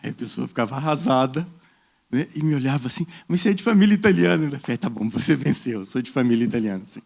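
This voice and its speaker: Brazilian Portuguese accent, middle-aged man, lively up-and-down delivery, panel-talk storyteller who voices the people he quotes. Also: mid-range voice, fast talker, creaky. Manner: animated storyteller, acts out quoted dialogue; frequent "né?" tags. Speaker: debate panelist. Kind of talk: personal talk at a panel debate.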